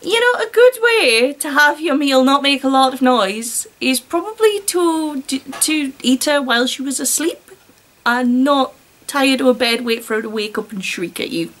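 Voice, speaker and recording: A woman's voice: high-pitched, lively intonation, moderate pace, fairly bright tone. A woman reading a story aloud and breaking off for casual, sarcastic commentary. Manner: wry, sarcastic asides.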